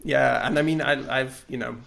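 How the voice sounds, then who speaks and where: A man's voice: mid-range voice, fairly even intonation, moderate pace, gravelly, fairly bright tone. A man, a game developer on a panel, talking in a thoughtful, hesitant way with many fillers and restarts. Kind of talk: casual panel conversation.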